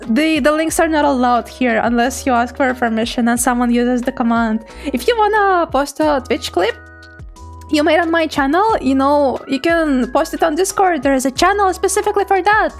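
Giggly high-voiced young woman streamer. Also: moderate pace, faint music behind. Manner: giggly, playful, animated. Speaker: VTuber streamer. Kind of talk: casual chat reading chat messages.

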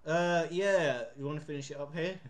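A man's voice: on the high side, moderate pace. A man in casual chat as host of a gaming channel.

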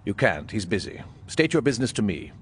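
An actor playing a cold, curt plant superintendent in a pulp thriller audio drama, clipped and dismissive. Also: male voice, fairly low pitch, very animated, a little fast, slight background noise.

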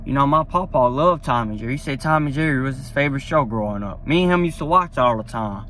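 A man's voice: medium pitch, fast, a bit bright, a little hiss or hum. A young man talking casually to a phone camera from a parked car, rambling and enthusiastic with lots of fillers. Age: young adult. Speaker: vlogger. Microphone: phone at arm's length.

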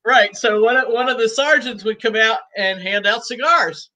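Woman in her 60s, high bright voice, chatty and laid back, on a video call from home. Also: normal speed. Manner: talkative and easygoing, laughs often. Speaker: guest, cigar shop owner. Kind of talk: casual interview chat. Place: room at home.

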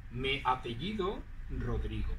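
A man in his forties from Zaragoza, with a Spanish accent, acting out a slow, teasing teaching dialogue outdoors on a clip-on mic. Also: medium pitch, big pitch swings, recorded from a distance, background noise. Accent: Spanish from Aragon. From Spain. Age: 40s. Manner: teasing, drawn-out emphasis. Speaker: language teacher in a scripted dialogue. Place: outdoors in front of a green wall.

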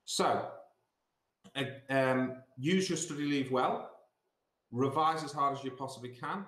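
A man's voice: medium pitch, measured pace, fairly bright tone. A middle-aged British man speaking as a school teacher, giving instructive advice and repeating points for emphasis, on a video call. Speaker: school sixth form director. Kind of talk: assembly talk giving exam revision advice. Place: small indoor office. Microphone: computer mic on a video call. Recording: roomy, echoey video call.

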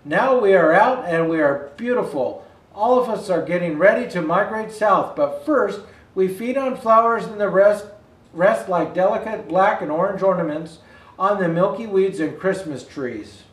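An older man with a high-pitched voice reads a children's picture book aloud. He phrases things expressively and deliberately, stumbling now and then. The room adds a noticeable echo around his words. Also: animated, distant mic. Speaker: Santa Claus storyteller. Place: indoor room with Christmas decor. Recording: roomy indoor echo.

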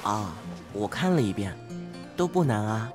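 A man's voice: fairly low pitch, big pitch swings, measured pace. Man playing an earnest schoolboy class monitor, music behind. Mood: preachy. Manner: preachy, matter-of-fact, naive. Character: earnest, oblivious, studious teenage class monitor boy. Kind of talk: modern audio drama acting.

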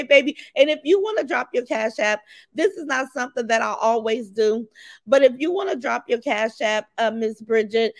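A raspy-voiced woman hosts a livestream, reading chat names aloud and cursing freely with blunt, brash energy. Her voice sits a touch high. The sound is close and dry, with no room around it. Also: no echo. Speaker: livestream host.

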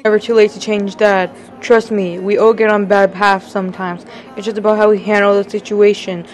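Woman as a gentle, reassuring grown son. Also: mid-range voice, flat delivery, normal speed, close to an everyday voice. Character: supportive, reassuring grown son counseling his father. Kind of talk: amateur voice-acted roleplay drama.

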